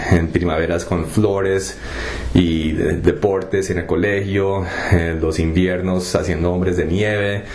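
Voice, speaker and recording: Low-voiced young man, casual personal testimony, halting with fillers, faint noise behind. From United States. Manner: relaxed, halting, many fillers and restarts. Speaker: testimony vlogger. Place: home kitchen.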